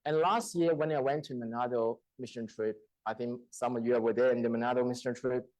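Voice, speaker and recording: A man from China in his thirties, whose first language is a Chinese dialect, telling a personal testimony in church in a halting, storytelling way with frequent laughter. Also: medium pitch, fairly even intonation, darkish tone, dry recording, rough recording. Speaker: church speaker. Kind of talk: testimony sermon. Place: church hall.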